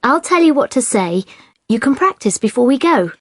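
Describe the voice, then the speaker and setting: A woman's voice: high voice, very animated, moderate pace, no room sound, studio quality, full character voice. An actress playing a helpful young woman friend who gives practical advice in a scripted dialogue. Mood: reassuring.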